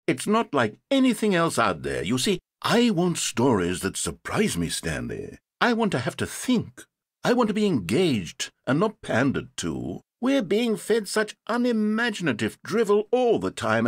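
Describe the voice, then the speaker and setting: A theatrical, sarcastic narrator. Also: male voice, mid-range voice, breathy edge, no echo, clean studio sound, heavily acted. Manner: dryly sarcastic, grandly theatrical. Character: pompous, theatrical male narrator, middle-aged. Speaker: game narrator. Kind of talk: scripted game narration. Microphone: close studio mic.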